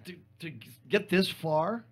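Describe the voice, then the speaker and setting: A man co-hosting a radio show in casual comedic banter, excitable and joking, jumping between half-finished thoughts. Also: high voice, slow.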